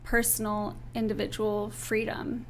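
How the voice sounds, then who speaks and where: A woman's voice: mid-range voice, monotone, unhurried, a little hiss or hum. A young woman, raised in Turkey, giving an interview and laying out her views point by point. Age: young adult. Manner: earnest, lays out points in order. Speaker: interview guest. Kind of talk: earnest political interview.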